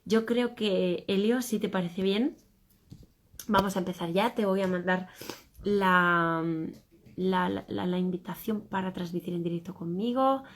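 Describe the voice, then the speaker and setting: A woman hosts a live online book club, her voice still a little hoarse. She talks effusively and speaks straight to her viewers. Her pitch sits in the middle range. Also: a little slow, slight background noise. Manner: effusive, addresses viewers directly. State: slightly hoarse. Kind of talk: live stream hosting.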